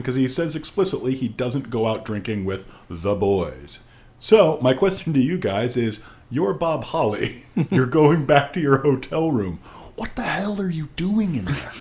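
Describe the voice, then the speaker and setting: A man's voice: mid-range voice, a little mellow. A man co-hosting a podcast, talking over a book in a casual, wry, conversational way. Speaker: podcast co-host. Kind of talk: casual chat, book discussion.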